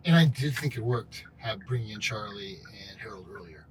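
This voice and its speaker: A man chatting casually in a halting, repetitive, approving way. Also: on the low side, recorded from a distance, poor audio. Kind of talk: casual chat. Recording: loud vehicle noise, off-mic.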